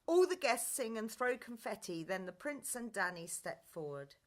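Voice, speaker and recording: A woman's voice: medium pitch, very animated, moderate pace. A woman reading scripted narration and stage directions for a pantomime radio play.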